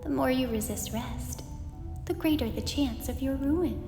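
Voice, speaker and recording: Stern little girl warden , voiced by a woman: high, slow, imperious delivery for an ASMR roleplay, music behind. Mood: cold, commanding. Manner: imperious, deliberate, clipped commands. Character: stern, bossy young girl prison warden, curtly commanding. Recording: echoey hall reverb over clear music.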